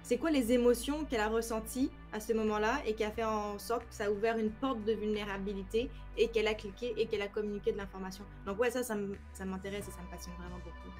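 A woman in her thirties from France, with a French accent and some Quebec expressions, explaining her work in a casual video-call interview with lively hand gestures. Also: medium pitch, fast, few pauses, soft background music. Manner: animated, explains with many examples, gestures with hands. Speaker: cybersecurity trainer, guest. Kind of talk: casual interview on a live stream. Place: home room, indoors.

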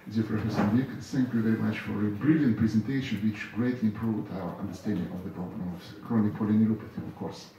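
Fast formal man closing a conference. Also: fairly low pitch, fairly even intonation, mellow, highs cut off. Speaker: conference chair. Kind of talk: closing remarks. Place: large lecture hall. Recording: echoey hall, far off, faint hiss.

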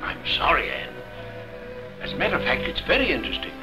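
A man's voice: fairly high pitch, huge pitch swings, a little fast, poor audio. A middle-aged actor playing an English pub darts skipper and father, jovial and a bit patronising, in a 1940s film. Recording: 1940s film soundtrack with music behind.

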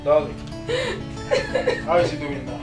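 A woman voicing an evasive husband, pitching her voice down, recorded from across an echoey room with music. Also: steady tone, measured pace, recorded from a distance. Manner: dismissive, deep put-on voice. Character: evasive husband, a sick boy's father.

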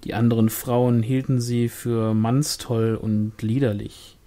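A man's voice: medium pitch, little pitch movement, slow, warm tone. A man reading a novel aloud as scripted audiobook narration. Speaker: audiobook reader.

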